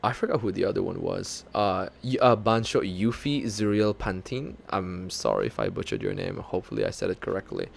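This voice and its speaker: A man's voice: sits low, a little fast, gravelly, hardly any pauses. A young Filipino man streaming as a VTuber, chatting casually and laughing often, with English that leans North American. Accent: light North American-leaning English, Filipino speaker. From Philippines. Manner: chatty, self-deprecating, laughs often, animated when retelling. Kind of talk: casual live-stream chat with viewers.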